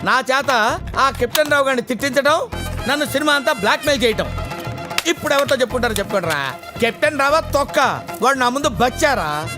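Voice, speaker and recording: A very high-voiced, blustering middle-aged man in a film comedy. He is boastful yet cowardly, exclaiming and repeating words theatrically, with loud music on the soundtrack. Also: lively intonation, quick pace. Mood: boastful ranting. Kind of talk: Telugu film comedy acting.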